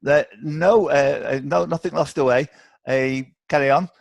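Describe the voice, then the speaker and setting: A man's voice: fairly high pitch, normal speed, gravelly, no echo. A man hosting a live online quiz, chatty and encouraging as he reads questions aloud. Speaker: quiz host. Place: online video call.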